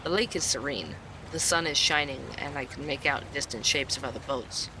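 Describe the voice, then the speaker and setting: A man's voice: medium pitch, normal speed, noisy background, natural voice. An actor playing a curious male investigative podcaster, who narrates and probes with questions. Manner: inquisitive, probing.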